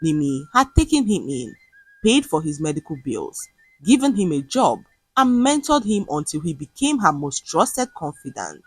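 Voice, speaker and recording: A woman narrating a scripted dramatic folktale as a storyteller, with a slightly husky voice. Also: deep voice, sing-song, normal speed, dry recording, faint music behind, low-quality recording.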